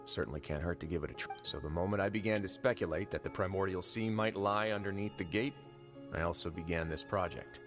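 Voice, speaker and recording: A man playing a composed, dryly amused prison administrator in a video game, speaking in a measured, suave way. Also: low-pitched. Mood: calm, assured. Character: composed, wry male prison fortress administrator. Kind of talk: video game character acting. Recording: game music playing behind the voice.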